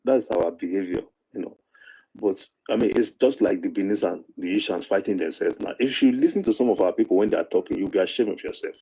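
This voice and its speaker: A man with a Nigerian accent talks in a lowish, very dark and relaxed voice. He reasons patiently in an informal discussion, repeating key phrases to persuade. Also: fast, slightly rough, poor audio.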